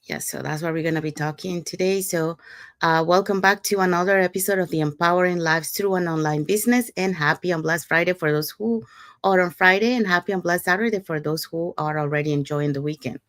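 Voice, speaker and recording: Woman from Panama, show co-host, lower voice, dry close sound, upbeat. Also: a little fast, fairly bright tone, no echo. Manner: upbeat, with repeated "so" openers. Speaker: podcast co-host. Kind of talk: promotional talk show hosting.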